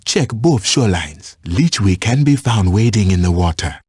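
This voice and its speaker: An actor playing a patient, watchful African safari tracker in a video game, guiding a hunter in measured, instructive phrases. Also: male voice, fairly low pitch, lively intonation, darkish tone, slightly rough, dry recording, clean studio sound. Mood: calm, instructive. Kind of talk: scripted video game voice lines.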